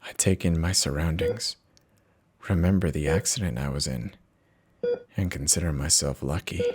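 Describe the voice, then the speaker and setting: A man giving a theatrical, expressive dramatic reading of a first-person horror story, with dramatic pauses. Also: rumbling low, heavy background noise. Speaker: narrator of horror stories.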